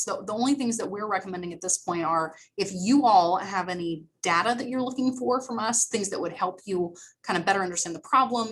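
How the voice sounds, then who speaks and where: A woman on library staff talks a meeting through its options over a video call. Her voice sits at a middle pitch, and her delivery is conversational and explanatory, with frequent restarts and fillers. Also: a bit bright, husky, poor audio. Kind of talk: meeting discussion.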